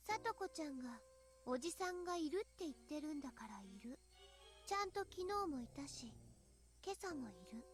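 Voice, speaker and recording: Visual novel schoolgirl: tiny high voice, quick, eerie, insinuating. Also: animated, soft background music. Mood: eerie probing. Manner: creepy, insinuating, repeating sentence endings. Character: eerie teenage schoolgirl, thinly smiling, dismissive. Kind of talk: Japanese visual novel voice acting.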